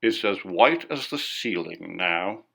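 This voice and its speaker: A man playing a reticent society gentleman in an audiobook, guarded and reluctant as he passes on grim news. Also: sits low, animated, slow, gravelly, full character voice. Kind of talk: audiobook character dialogue.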